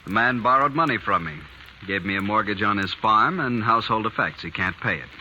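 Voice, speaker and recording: A cold, haughty banker. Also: male voice, on the low side, lively intonation, fairly quick, sharp tone, lo-fi sound. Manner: curt, haughty, dismissive. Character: cold greedy middle-aged male banker. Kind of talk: 1950s radio drama acting. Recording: hissy old 1950s radio broadcast.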